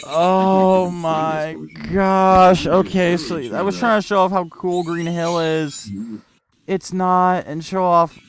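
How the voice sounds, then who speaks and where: A man from the United States reviewing a video game in casual, rambling, halting, sweary unscripted commentary. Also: high voice, little pitch movement, a crowd in the background. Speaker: gaming reviewer. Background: game music.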